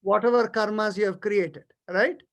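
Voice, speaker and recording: A middle-aged man with an Indian accent, a native Tamil speaker, gives a spiritual lesson. He explains ideas step by step in a didactic way, often pausing to check that he is understood. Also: sits high, steady tone, a little hiss or hum. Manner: didactic, checks understanding with short tag questions. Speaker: yoga philosophy teacher.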